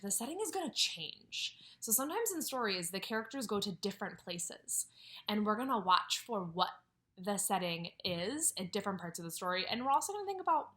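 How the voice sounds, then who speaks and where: Female kindergarten teacher, somewhat high and bright; animated read-aloud that asks questions and answers them. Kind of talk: read-aloud lesson for young children. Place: at home.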